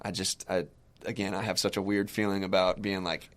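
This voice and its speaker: A young man, a musician guest, talking casually in an interview, soft-spoken and hesitant, with many restarts. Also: low-pitched, little pitch movement, brisk.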